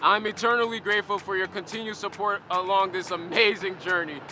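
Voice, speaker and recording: A man's voice: high-pitched, fairly even intonation, fairly quick, noisy background. A man with a Liberian accent hosting a podcast interview in a casual, laid-back way, often repeating words and laughing at himself. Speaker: podcast host.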